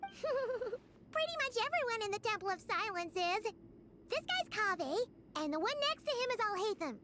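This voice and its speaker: Squeaky, very high childlike fairy girl. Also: very animated, normal speed, very noisy. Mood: cheerful. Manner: chirpy, refers to self in third person. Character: Paimon, tiny excitable floating fairy companion, childlike girl. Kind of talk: video game voice acting.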